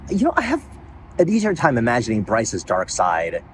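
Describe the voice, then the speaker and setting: A middle-aged man talks outdoors with a low, textured voice, his pitch swinging widely as he tells stories. Traffic and open-air noise sit clearly behind him. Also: normal speed. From United States. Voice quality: low-sounding voice. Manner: animated storyteller, laughs often, swears casually. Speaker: voice actor and host. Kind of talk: casual chat. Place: outdoors in a park. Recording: noisy outdoor recording with traffic.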